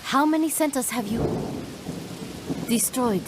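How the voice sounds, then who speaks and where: A woman's voice: high voice, takes it slowly. Rain and storm noise surround a determined woman of the French Resistance. She is cool, formal and controlled, in a video game cutscene. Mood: cool, composed. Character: composed, determined female French Resistance agent. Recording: clear rainstorm noise behind voice. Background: rain and thunder.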